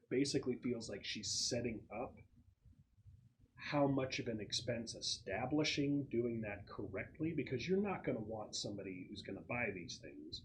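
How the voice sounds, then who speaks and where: A man narrating a tabletop roleplaying game as game master, explaining things in a halting, thoughtful way with pauses. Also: lowish voice. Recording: clearly noisy room recording with clicks.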